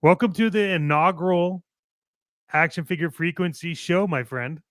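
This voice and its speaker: A man's voice: a little high, normal speed, fairly bright tone, dry recording, studio quality. A man co-hosting a casual toy collecting show, speaking with enthusiastic, cocky, jokey hype. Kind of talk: casual radio show chat and playful ad reads.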